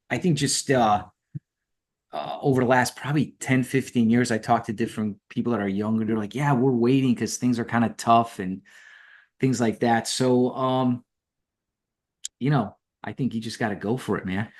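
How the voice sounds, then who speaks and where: Easygoing middle-aged man from Romania. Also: medium pitch. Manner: easygoing, chuckles often, frank. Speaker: podcast guest, copywriter. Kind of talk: casual conversation.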